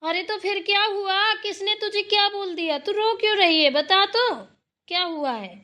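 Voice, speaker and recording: A woman voicing a caring, middle-aged village mother-in-law in a family cartoon, coaxing, animated and motherly. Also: very high voice, moderate pace. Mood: concerned.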